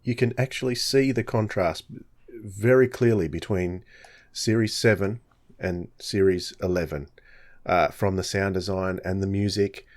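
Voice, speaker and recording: Low-voiced man hosting a podcast. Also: fairly even intonation, measured pace. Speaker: podcast host. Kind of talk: casual chat.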